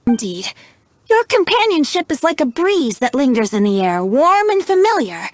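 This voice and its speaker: High, lilting boyish bard. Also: female voice, big pitch swings, moderate pace, dry recording. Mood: poetic. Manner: lilting, poetic, teasing. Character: youthful male bard, playful, sly, theatrical. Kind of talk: English video game voice acting.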